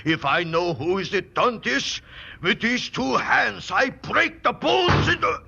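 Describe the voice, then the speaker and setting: Older Dutch captain, fiery and distraught, creaky voice, muffled vintage radio drama. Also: male voice, high-pitched, lively intonation, slow pace, pushed and bright, heavily acted. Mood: alarmed, angry. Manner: broken English, exclaiming. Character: distraught, hot-tempered older Dutch ship captain, devoted father. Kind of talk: 1940s radio drama acting. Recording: muffled old radio broadcast, music behind.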